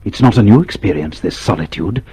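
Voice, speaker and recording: An actor playing a grave, pitiless narrator of a dystopia, measured and emphatic, in a radio drama. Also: male voice, on the low side, expressive, mellow, breathy edge. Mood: cold, ominous.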